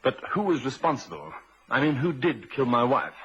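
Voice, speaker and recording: A man's voice: mid-range voice, big pitch swings, normal speed, close to an everyday voice. An actor playing an anxious, bewildered estranged husband in an old-time radio drama, a man with a polite, hesitant, uneasy manner. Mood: uneasy, puzzled. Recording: dull, noisy vintage radio recording.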